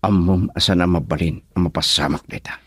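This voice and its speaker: A man's voice: low voice, steady tone, a little fast, slightly rough, no room sound. A Filipino man playing an earnest, resolute young suitor in a radio drama, sober and sincere. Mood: serious.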